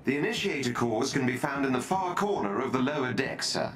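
Polite robot butler, formal and deadpan, medium pitched, game voice. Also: male voice, fairly quick, a little hiss or hum. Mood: composed, formal. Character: polite, dry-witted male AI butler assistant. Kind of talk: game cutscene acting.

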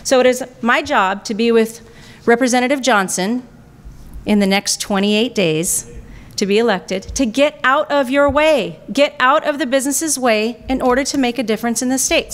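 A woman's voice: fairly high pitch, fairly bright tone. A middle-aged woman delivering a confident, rousing campaign speech at a podium mic in a banquet room. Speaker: political candidate. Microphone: podium microphone.

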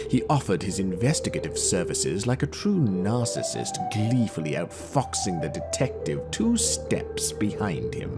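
A haughty detective in a dark audio novel, speaking low with dramatic swings of pitch. His diction is ornate and pompous, his temper brooding, with loud music behind him. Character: haughty, brooding male detective investigating a village murder.

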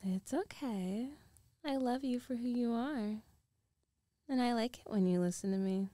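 Slow, mellow, teasing dominant girlfriend played by a woman. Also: fairly high pitch, dry recording, close to an everyday voice. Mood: teasing, commanding. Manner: slow, coaxing, breathy teasing, often dropping to a whisper. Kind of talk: intimate ASMR roleplay.

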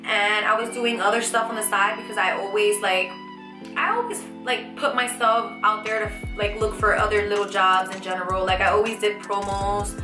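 A young woman in her twenties, chatty and animated, answering viewer questions in a casual vlog from a small bedroom. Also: mid-range voice, normal speed, roomy sound, over music. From United States. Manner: chatty, animated, lots of fillers and restarts. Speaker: vlogger. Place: small bedroom with shelves, indoors.

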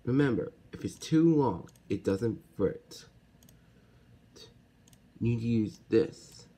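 A man giving a casual software tutorial step by step, haltingly and correcting himself as he goes. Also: medium pitch, animated, slightly rough. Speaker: tutorial host. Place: indoors at a computer. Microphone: handheld camera or phone filming the screen. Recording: noisy handheld recording with computer clicks.

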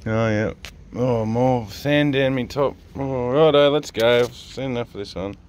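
A man's voice: mid-range voice, a little slow. A man with an Australian accent, a father casually chatting and teasing his sons while filming outdoors on a handheld phone. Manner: relaxed, teasing, easygoing dad talk. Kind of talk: casual family vlog chat. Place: outdoors in seaside sandstone caves. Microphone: handheld phone camera.

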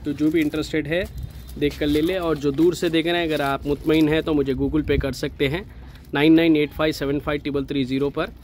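A man's voice: a little high, level tone, brisk, a little mellow, slight background noise. A man with a Hyderabadi accent, a goat farm owner giving a chatty, persuasive sales walkthrough outdoors in a goat pen. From India.

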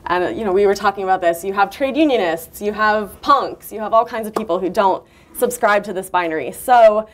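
A woman's voice: medium pitch, a little fast. A woman author at a book launch conversation, thinking aloud at length with fillers and restarts, heard from a far camera in a university lecture room.

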